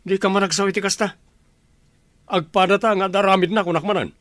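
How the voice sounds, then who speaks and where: An irritable village husband with a gambling habit in an Ilocano radio drama, played by a male actor. His voice is fairly high and forceful, recorded dry. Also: fairly even intonation, a little fast, no echo. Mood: irritated.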